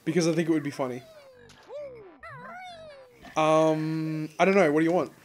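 A man's voice: fairly high pitch, steady tone, normal speed. A man co-hosting a casual let's play, joking and laughing often as he talks through the game. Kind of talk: casual gameplay commentary.

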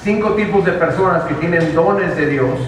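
A man's voice: high voice, moderate pace. A man interpreting a sermon, earnest, with restarts. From Mexico. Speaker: interpreter and minister. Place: large dim auditorium. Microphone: handheld mic, far camera. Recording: echoey hall from far off, noisy.

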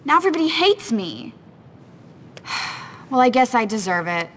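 An upset, insecure teenage girl in a video game. Her voice is high and swings dramatically with self-pity. Long reverb surrounds it. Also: very animated, normal speed. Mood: distraught, self-pitying. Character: distraught, insecure popular teenage schoolgirl. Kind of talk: video game cutscene acting. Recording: reverberant hall.

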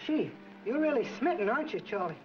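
A man's voice: very high voice, sing-song, fast talker. A man playing an earnest, sympathetic young American soda jerk in a 1940s film comedy. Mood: commiserating. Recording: dull 1940s film soundtrack with music.

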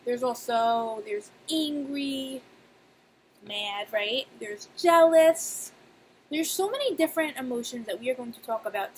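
High-voiced young woman talking to small children. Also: low-quality recording. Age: young adult. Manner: expressive, simple child-directed speech with emphatic pauses. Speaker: nursery teacher. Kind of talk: read-aloud and talk to young children. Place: small indoor room. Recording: clear background noise, webcam-style room recording.

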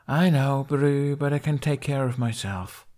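A man's voice: medium pitch, unhurried, darkish tone, voice pushed up, close to an everyday voice. A man voicing a self-assured young Viking woman in an audiobook, breezy and flippant in manner.

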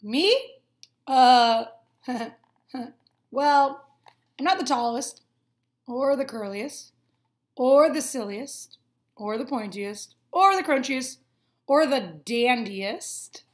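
A woman's voice: high-pitched, expressive, extremely slow, long pauses, exaggerated voice. A woman voicing an anxious, unsure young blade of grass from a storybook, hesitant and searching.

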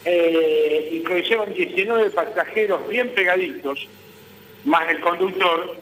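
An Argentine man with a high, forceful voice gives a live news interview. He argues insistently and poses his own questions. The remote call sounds roomy, with some faint noise. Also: slow. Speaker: guest, transport business association vice president.

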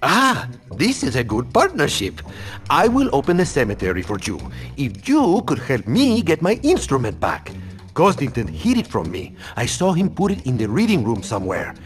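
Melodramatic game butler, Spanish accent, very animated, music behind. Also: male voice, mid-range voice, normal speed. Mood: relieved, pleading. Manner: excitable, melodramatic. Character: anxious, effusive male butler in a cartoon town. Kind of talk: animated video game character acting.

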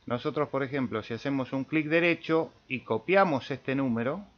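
A man with an Argentine Spanish accent giving an informal software tutorial, thinking aloud as he demonstrates. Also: mid-range voice, moderate pace, dull audio. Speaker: amateur radio video tutorial host.

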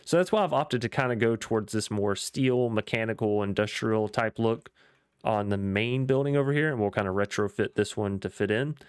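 A man's voice: lowish voice, normal speed, clean tone, no echo, clean studio sound. A young man with a slightly worn voice, chatting casually and upbeat while he plays, rambling with fillers as he goes. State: losing his voice. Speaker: gaming YouTuber. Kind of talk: casual gameplay commentary.

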